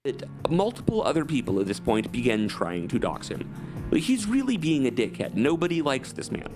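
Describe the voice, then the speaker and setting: A man's voice: mid-range voice, huge pitch swings, fairly quick. A man narrating a commentary video as an essayist, laying out his account of events. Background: music.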